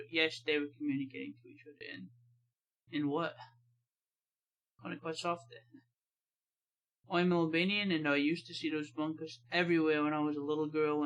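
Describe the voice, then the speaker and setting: American man, reaction host, slightly high pitch, casual, offhand, reads comments aloud. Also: a bit bright, muffled recording. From United States.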